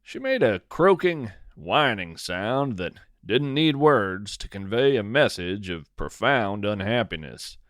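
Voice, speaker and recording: A man narrating a scripted, whimsical nature story in a measured, dramatic storytelling manner with deliberate pauses. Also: fairly low pitch, big pitch swings, a bit bright, no room sound, studio quality. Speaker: podcast host and narrator.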